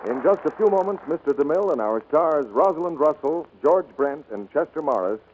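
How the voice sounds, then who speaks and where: A man's voice: fairly high pitch, lively intonation, brisk, phone-quality sound, slight background noise. A man announcing and reading scripted sponsor messages in the style of 1940s radio. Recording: vintage 1940s radio recording.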